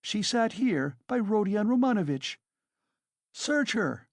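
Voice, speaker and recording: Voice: mid-range voice, very animated, measured pace, dry recording, clean studio sound, pitched above the performer's own voice. A reader voicing a frantic, defiant widow who shrieks and accuses in hysterical fury, as an audiobook character.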